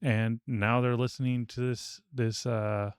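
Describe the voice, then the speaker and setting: A man co-hosting a casual podcast discussion, explaining things in a measured way. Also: mid-range voice, flat delivery, clean tone, dry recording, clean studio sound. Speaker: podcast co-host. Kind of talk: casual panel discussion of old radio serials.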